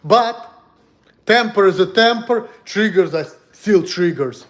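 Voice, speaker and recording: A man's voice: high voice, animated, takes it slowly. A man from Russia with a Russian accent, talking bluntly and heatedly on a casual livestream in broken English. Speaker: streamer.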